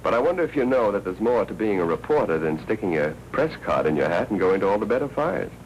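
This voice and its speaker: An actor playing a brisk, hard-nosed newspaper editor in a 1940s film, with an American accent and brusque, wry patter. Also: male voice, lowish voice, big pitch swings. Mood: politely wry. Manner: brusque, wry, fast-talking newsroom patter. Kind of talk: 1940s film noir acting. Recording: noisy 1940s film soundtrack.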